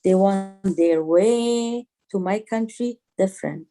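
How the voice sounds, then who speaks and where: A middle-aged woman with an Afghan accent, speaking emphatically in halting English as a guest on a video call interview. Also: medium pitch, warm tone, soft background music. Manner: emphatic, repeats phrases in halting non-native English. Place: small home room, indoors. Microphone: video call on a phone or laptop.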